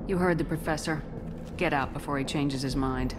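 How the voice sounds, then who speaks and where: A woman playing a steady, authoritative and frank military commander in a video game. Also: sits low, normal speed, close to an everyday voice. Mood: firm. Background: game music.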